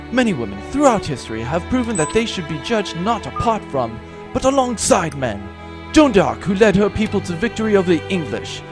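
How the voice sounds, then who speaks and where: A man voicing a glib, cocky space captain hero who makes grandiose speeches in a comedic audio drama. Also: a little high.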